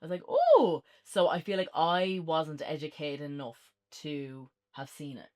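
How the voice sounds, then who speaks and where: A woman's voice: deep voice, big pitch swings, unhurried, a little mellow. A woman with an Irish accent co-hosting a casual, unscripted review, chatting informally and often saying "like". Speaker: podcast co-host and reviewer.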